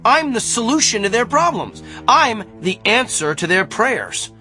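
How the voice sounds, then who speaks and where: A man's voice: extremely high pitch, big pitch swings, measured pace, pushed and bright, faint music behind, heavily acted. An actor playing a pompous, defensive male doctor with an inflated view of his gift, in a radio drama. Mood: defensive, grandiose. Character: arrogant, self-important gifted male doctor.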